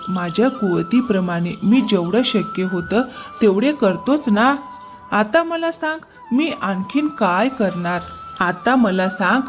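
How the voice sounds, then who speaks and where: Lady doing a earnest young farmer guy for a cartoon, polite, slow, bit of music behind. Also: medium pitch. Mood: defensive. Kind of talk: animated moral story dialogue.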